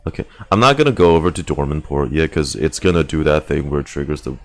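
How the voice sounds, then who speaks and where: A man's voice: extremely low pitch, lively intonation, fast, soft background music. A man chatting casually on a game livestream, rambling as he thinks aloud and swearing now and then. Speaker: game streamer. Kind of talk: casual livestream chat while gaming.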